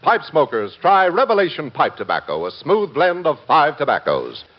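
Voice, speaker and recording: Lively 1940s male radio announcer. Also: a little high, moderate pace. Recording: dull vintage radio broadcast with music.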